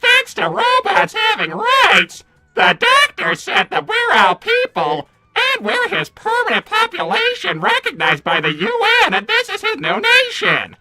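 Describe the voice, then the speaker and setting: A woman's voice: very high voice, wildly swooping pitch, measured pace, vocal fry, pushed and bright, faint music behind, exaggerated voice, rough recording. A woman voicing a smug little robot henchman in a comic cartoon roleplay, taunting and sarcastic.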